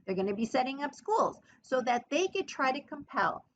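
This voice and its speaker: A woman giving a history podcast lecture in an emphatic, expressive storytelling manner. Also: sits high, moderate pace. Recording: muffled lo-fi recording.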